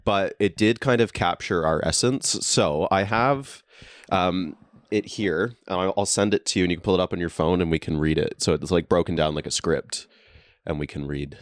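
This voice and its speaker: A man's voice: sits low, moderate pace, dry recording, clean studio sound. A young man co-hosting a podcast in a friend's basement, easygoing and agreeable, chuckling as he chats. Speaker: podcast co-host. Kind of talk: casual podcast chat.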